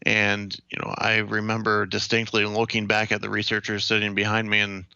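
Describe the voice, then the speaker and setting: A young American man from Ohio giving measured, explanatory answers as an interview guest. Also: fairly low pitch, completely flat delivery, vocal fry, pushed and bright, clean tone, no room sound, highs cut off. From Ohio, United States. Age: young adult.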